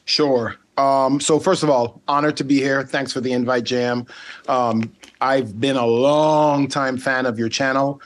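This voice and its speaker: A middle-aged man from Saint Martin, a native Dutch speaker, talking earnestly as an interview guest with many pauses and ums. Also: medium pitch, steady tone, a little hiss or hum. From Saint Martin, Caribbean. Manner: thoughtful, frequent ums, earnest. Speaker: guest, ethical hacker. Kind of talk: casual interview conversation.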